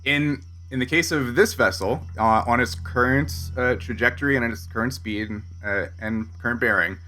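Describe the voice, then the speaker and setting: A man narrating a tabletop sci-fi game as game master, halting and correcting himself as he explains. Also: mid-range voice, pushed and bright. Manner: halting, self-correcting delivery.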